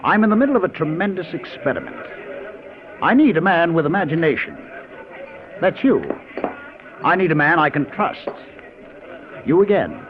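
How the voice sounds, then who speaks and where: A man's voice: fairly high pitch, big pitch swings, normal speed, pauses often, a crowd in the background, background noise. An actor playing an eager, confident male professor who explains his inventions theatrically in a 1950s radio drama. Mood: urgent, eager. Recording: phone-like old radio broadcast recording.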